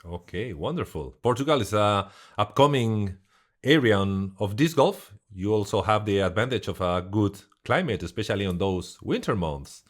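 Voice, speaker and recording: A man hosting an interview, asking long questions in a halting way and rephrasing as he goes. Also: on the low side, animated. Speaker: interview host.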